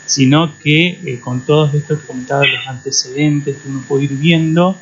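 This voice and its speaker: A man's voice: a little high, steady tone, normal speed. A man journalist, politely asking a guest a long, winding question on the radio. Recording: muffled radio recording with mains hum.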